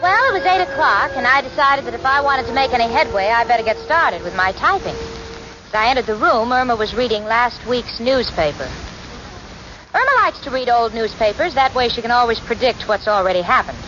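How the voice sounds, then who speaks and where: A sardonic, sensible young woman played by an actress speaks in a high, swooping voice with long-suffering patience. It is 1940s radio comedy on a muffled, noisy recording. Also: expressive, a little fast, natural voice. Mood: wry. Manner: wry, exasperated, crisply articulate. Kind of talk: 1940s radio sitcom acting and narration.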